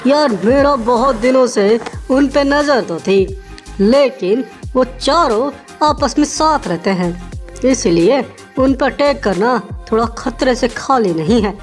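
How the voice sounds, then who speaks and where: A woman's voice: a little high, animated, slow pace, faint music behind. A woman voicing a proud, boastful male lion king in a children's animal story. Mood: wary. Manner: boastful, with villainous laughs.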